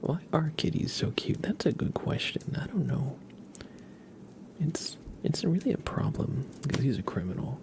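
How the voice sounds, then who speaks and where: A man hosting an ASMR livestream, chatting gently and casually in a soft, breathy voice with frequent pauses. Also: on the high side, big pitch swings. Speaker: livestream host.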